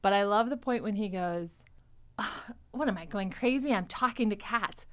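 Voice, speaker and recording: Middle-aged female podcast host, mid-pitched, conversational and expressive, enthusiastic delivery. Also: moderate pace. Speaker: podcast host and cast member. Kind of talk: casual, chatty panel discussion.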